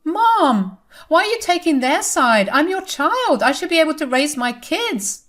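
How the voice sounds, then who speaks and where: A woman voicing a lying, self-pitying grown daughter who pleads in a high whine. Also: big pitch swings, unhurried, a bit bright, heavily acted. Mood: whining.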